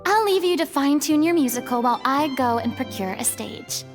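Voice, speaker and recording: A theatrical, showy former goddess. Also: female voice, high-pitched, expressive, fairly quick. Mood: grandiose. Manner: grand, theatrical delivery. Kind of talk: video game cutscene voice acting. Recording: game dialogue over music.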